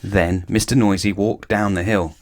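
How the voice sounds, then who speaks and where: A man narrating a children's storybook aloud in an expressive read-aloud style. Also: lowish voice, normal speed.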